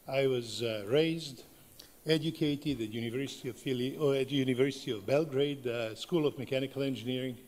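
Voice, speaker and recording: A man's voice: medium pitch, darkish tone. A middle-aged man from Serbia, a professor, gives a conference lecture in English with a Serbian accent, speaking unhurriedly with many filler sounds. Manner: unhurried, frequent uh fillers, plain non-native phrasing. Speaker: professor giving a conference keynote. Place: large conference hall. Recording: long reverb of a large hall.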